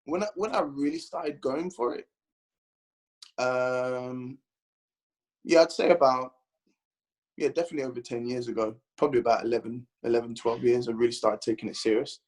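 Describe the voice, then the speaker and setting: A man from London with a London accent, a guest bodybuilder chatting on a podcast, rambling and animated as he tells his story. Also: mid-range voice, moderate pace, pauses often, rough recording. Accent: London English. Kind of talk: casual podcast chat.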